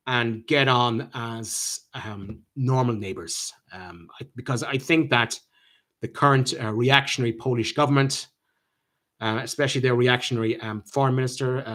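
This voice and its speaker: An Irish man hosts a live chat and answers his viewers' comments one by one. He talks at a middling pitch for a man and stops often to restart his sentences with plenty of ums. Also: a bit bright. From Ireland. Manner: halting, with many ums and restarts. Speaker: channel host answering viewer questions.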